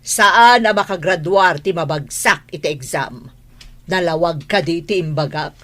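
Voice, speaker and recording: A woman's voice: fairly low pitch, a little slow, a bit bright. A woman playing a strict, no-nonsense schoolteacher in a radio drama.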